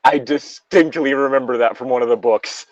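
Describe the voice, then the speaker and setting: A man's voice: medium pitch, fairly quick, dry recording, low-quality recording. A man chatting casually with friends, swearing freely and prone to laughing. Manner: crude, sweary, given to laughing. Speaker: co-host of a group creepypasta reading. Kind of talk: casual banter with friends between read-aloud story passages.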